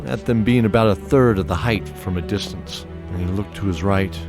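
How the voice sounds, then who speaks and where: A man narrating a fantasy audio drama in steady, descriptive scripted storytelling. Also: fairly low pitch.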